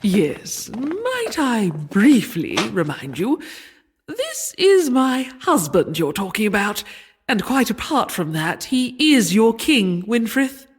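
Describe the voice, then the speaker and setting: A woman's voice: on the high side, wildly swooping pitch, takes it slowly, breathy edge, heavily acted. An actress playing an imperious medieval queen in an audio comedy, speaking formally and properly. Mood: regal, reproving. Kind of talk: audio comedy drama acting.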